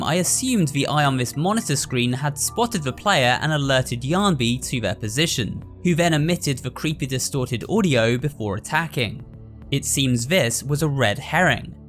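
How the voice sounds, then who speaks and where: A man's voice: mid-range voice, fairly bright tone. A man narrating a scripted breakdown as the host of a video.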